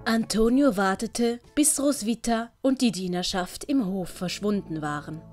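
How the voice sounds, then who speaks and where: A woman's voice: medium pitch, unhurried, darkish tone, soft background music. A woman reading a scripted story as audiobook narrator.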